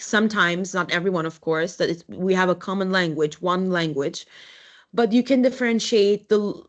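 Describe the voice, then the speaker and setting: A young adult woman from Turkey, giving a university lecture as an architect, speaking in a halting, conversational way with frequent restarts. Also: lowish voice. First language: Turkish.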